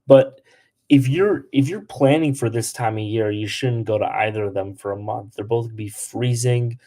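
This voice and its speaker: Young male livestream host, casual, filler-heavy, mellow tone. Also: mid-range voice, normal speed. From Polish. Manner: chatty, lots of "like", blunt toward trolls. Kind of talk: casual livestream Q&A, reading comments.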